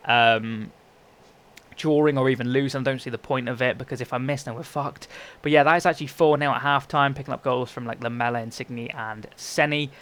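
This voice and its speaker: A man's voice: mid-range voice, a bit bright. Young man, congested from a cold, rattling through chatty game commentary at speed. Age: young adult. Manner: chatty, rambling, frequent self-corrections. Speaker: gaming YouTuber. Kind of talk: casual gameplay commentary.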